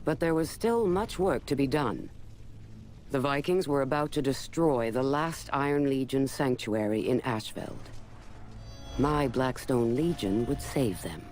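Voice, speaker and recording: A cold, commanding warlord of a knightly legion voiced by an actor narrates a game cutscene. The voice is mid-pitched, measured and imperious, over soft music. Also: close to an everyday voice. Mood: cold, assured. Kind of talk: dramatic scripted game narration.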